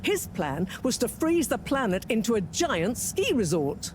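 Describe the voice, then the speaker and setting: A woman's voice: on the high side, very animated, unhurried, a little hiss or hum. An actress playing an authoritative female team leader in a video game, clipped and businesslike. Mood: stern. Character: brisk, commanding female rescue team leader. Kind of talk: scripted video game dialogue.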